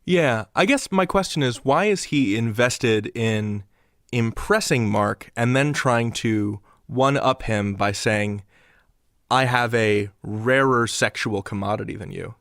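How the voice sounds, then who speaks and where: A male interviewer with a mid-pitched voice asks thoughtful, probing questions. His voice sounds dry and close to the mic. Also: slow, fairly bright tone, dry recording.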